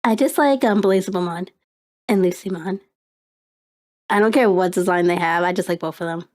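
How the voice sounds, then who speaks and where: A young woman streamer chatting casually on a live stream, rambling and excitable, with lots of fillers and laughter. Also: on the low side, moderate pace.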